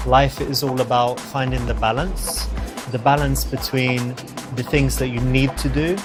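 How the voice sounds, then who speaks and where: A man playing a smooth, attentive dater and coach, talking in a conversational, reassuring way. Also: medium pitch, level tone, unhurried, over music, natural voice. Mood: earnest. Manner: conversational, reassuring, uses "you know" often. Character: smooth, attentive man chatting up a woman on a date. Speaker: seduction coach and dating game presenter. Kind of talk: scripted date dialogue and coaching commentary.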